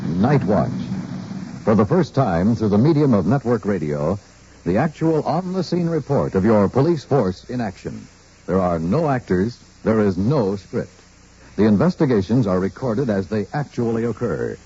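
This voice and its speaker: A man announcing a scripted program in a dramatic 1950s radio style, with a husky, rough-edged voice. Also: lowish voice, big pitch swings, moderate pace, mellow. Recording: muffled old radio broadcast recording.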